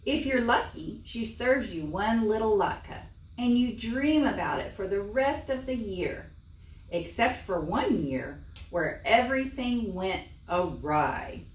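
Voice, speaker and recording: Slow, animated woman reading at storytime. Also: mid-range voice. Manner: expressive, simple short phrases for young children. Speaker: library storytime reader. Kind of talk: animated children's storytime narration. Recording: echoey room, distant mic.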